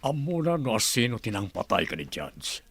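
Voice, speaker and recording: Grave governor, dry close sound. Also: male voice, mid-range voice, very animated, normal speed, a bit bright, breathy edge, no room sound, full character voice. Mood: grave, explaining. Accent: Ilocano. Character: provincial governor, grave male assassination survivor. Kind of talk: Ilocano radio drama acting.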